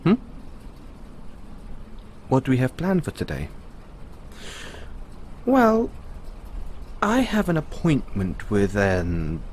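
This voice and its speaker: A man playing a slow-talking, thoughtful android owner. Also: mid-range voice, huge pitch swings, a little mellow, slight background noise. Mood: casual, slightly secretive. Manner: musing, explanatory, measured with pauses. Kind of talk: audio roleplay, spoken intimately to the listener.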